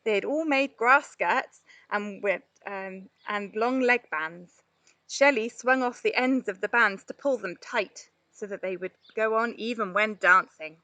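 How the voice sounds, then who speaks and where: A woman reading a children's storybook aloud in an expressive narrating style. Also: medium pitch, unhurried, no room sound. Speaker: storybook reader.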